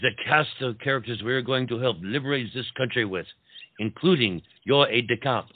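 An actor playing an urbane French marquis and officer, a loyal friend who coaxes and reassures, dropping French words into comic dialogue. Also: male voice, medium pitch, fairly quick, dry recording, phone-quality sound, close to an everyday voice. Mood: coaxing, patient. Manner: coaxing, sprinkles speech with French words. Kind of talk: theatrical comedy audio-play acting.

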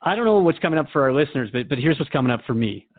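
A man's voice: medium pitch, expressive, rapid-fire, narrow, tinny audio, lightly acted. A man playing a curious, wry radio host who questions his guest conversationally in an audio drama. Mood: thoughtful, hesitant. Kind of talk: scripted radio drama interview.

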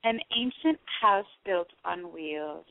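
Woman from Panama, low voice, reflective and measured; dry, tinny phone-line sound. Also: lively intonation, vocal fry, no echo. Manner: reflective, measured, with frequent um fillers and restarts. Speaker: guest poet and artist. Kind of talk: conversational interview and reading her own prose. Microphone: phone call.

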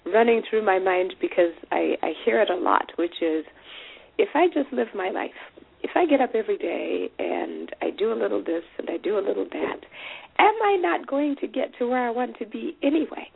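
A woman's voice: mid-range voice, expressive, normal speed, gravelly, slightly rough. A woman hosting a radio interview, asking thoughtful, probing questions. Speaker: radio show host. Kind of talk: casual interview chat. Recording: phone-like radio audio.

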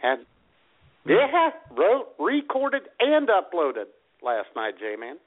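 A man's voice: high-pitched, huge pitch swings, slow pace. An American man co-hosting a radio show with casual, irreverent comedic banter and frequent exclamations. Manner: irreverent, mildly profane, exclamatory. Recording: tinny band-limited radio sound.

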